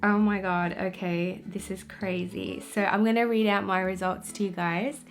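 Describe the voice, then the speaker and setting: A young woman from Pakistan talks straight to her viewers in a loose, chatty vlog, leaning on "um" and "like" as she goes. Her voice has a middling pitch. The room around her is echoey, with faint music underneath. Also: steady tone, moderate pace. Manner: chatty, with many fillers like "um" and "you know". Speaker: vlogger. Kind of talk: casual vlog to camera.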